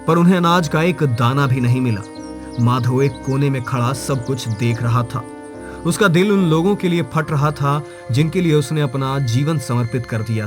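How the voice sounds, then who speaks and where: A man reading a scripted moral story as its narrator, in a dramatic storytelling style. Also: medium pitch.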